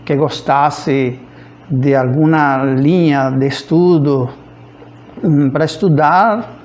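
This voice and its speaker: A man's voice: fairly high pitch, slow pace, darkish tone, lo-fi sound. A man of about fifty from rural Cuba with a Cuban accent, a native Spanish speaker, telling his life story informally and hesitantly, searching for words. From rural central Cuba. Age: around 50. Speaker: physics professor. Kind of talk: informal autobiographical account.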